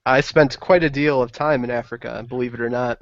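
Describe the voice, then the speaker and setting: A man's voice: medium pitch, fairly even intonation, brisk, muffled recording. A man playing a tabletop game, talking in a sly, conversational way in character. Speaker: player.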